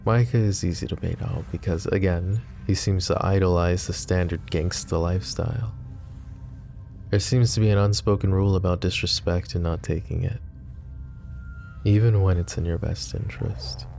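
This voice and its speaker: A man voicing a possessive, protective mafia boss in an ASMR roleplay, talking in a calm, confiding way with casual swearing. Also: deep voice, moderate pace, creaky, faint music behind. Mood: candid. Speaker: voice actor.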